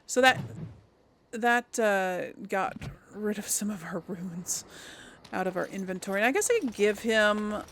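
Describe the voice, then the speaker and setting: Unhurried woman giving casual game commentary. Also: medium pitch, a bit bright, slight background noise. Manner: unhurried, thinking aloud. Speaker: gaming video host.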